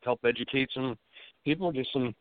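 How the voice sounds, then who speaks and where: A man with a cold and a slightly raspy voice, answering interview questions as a safety expert in an easygoing, explanatory way. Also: mid-range voice, moderate pace, dry recording. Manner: explains at length in a plain, easygoing way. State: has a cold, a bit raspy. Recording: dry, phone-like line.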